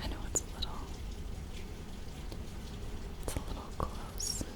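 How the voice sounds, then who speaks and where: A woman doing a soft-spoken ASMR roleplay as a gentle, curious sci-fi medic, soothing and close to the microphone, leaving long pauses. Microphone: close-up sensitive ASMR microphone.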